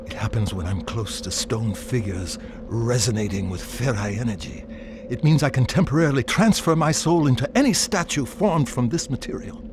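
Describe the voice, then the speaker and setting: A man's voice: mid-range voice, moderate pace, noisy background, lightly acted. A man playing an earnest, inquisitive, restless hero in video game cutscenes. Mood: explaining.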